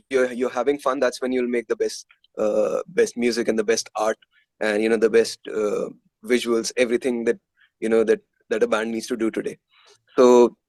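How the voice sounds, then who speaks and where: Indian guy musician being interviewed, normal pitch, chatty, kinda hesitant, really dry sound. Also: darkish tone, no room sound. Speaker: musician guest, guitarist and composer in a metal band. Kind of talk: candid conversational interview answers.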